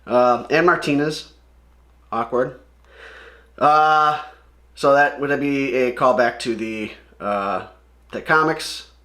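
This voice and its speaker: Male read-along host, loose slangy commentary, mid-range pitch, roomy echoing recording. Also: slow pace, pauses often, bright, forceful tone. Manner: rambling, sweary, slangy asides.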